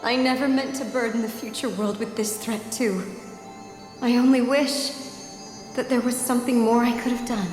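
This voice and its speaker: A solemn maiden from a fantasy game, speaks with regret in a high-ish voice. Long echoing reverb surrounds her sorrowful words, with faint music. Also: steady tone, moderate pace, darkish tone. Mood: regretful. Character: sorrowful, solemn maiden leaving an ancient message. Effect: long ghostly reverb. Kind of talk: dramatic English game dub acting.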